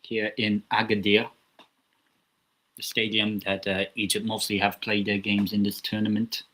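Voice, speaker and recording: A man commentates a football match live from a small room at home, his voice sitting low. He chats and rambles casually between plays, adding asides to himself as the game goes on. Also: monotone, normal speed, bright, forceful tone, poor audio. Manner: chatty, rambling, self-talking asides. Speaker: watchalong host.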